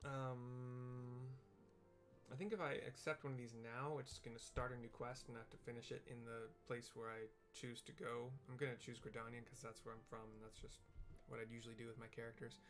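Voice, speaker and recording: A man's voice: medium pitch, level tone, a little fast, fairly bright tone, soft background music. A man casually narrating a video game playthrough, describing the scene in an informal, explanatory way.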